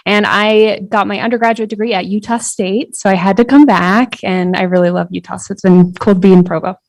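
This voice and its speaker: A woman's voice: medium pitch, steady tone, fairly quick, low-quality recording. A young American woman from Nevada, briefly introducing herself as a student officer. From Nevada, United States. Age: young adult. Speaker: student government vice president.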